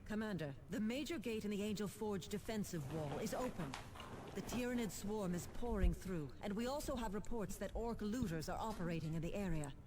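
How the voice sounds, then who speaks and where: A woman playing a composed, determined government administrator in a sci-fi video game, formal and crisp with dry irony. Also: medium pitch, animated, brisk, noisy background, natural voice. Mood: grave, urgent. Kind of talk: scripted video game acting.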